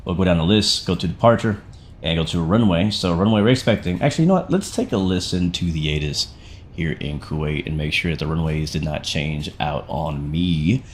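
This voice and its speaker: A man with a slightly raspy voice, not fully recovered from sickness, hosting a casual flight sim livestream in a chummy, slangy way and thinking aloud. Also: deep voice, fairly quick, a little hiss or hum. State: getting over a sickness, voice not fully back. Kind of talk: casual stream commentary.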